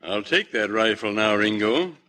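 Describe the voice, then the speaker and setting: Forceful, sharp-voiced western sheriff. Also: male voice, on the low side, lively intonation. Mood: firm. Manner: brisk, authoritative. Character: firm, commanding Old West sheriff riding shotgun. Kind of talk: old-time radio western acting.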